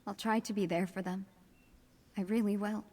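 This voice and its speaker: A woman's voice: medium pitch, fairly even intonation, moderate pace, a little mellow, big-room sound, natural voice. An actress playing a vulnerable, grieving young woman in a fantasy video game, sorrowful and earnest. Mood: sad resolve.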